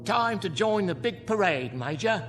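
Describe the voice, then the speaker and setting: An actor playing a sardonic, world-weary clown in a radio drama, with florid, grandly mocking delivery. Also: male voice, high-pitched, expressive, normal speed, fairly bright tone, soft background music.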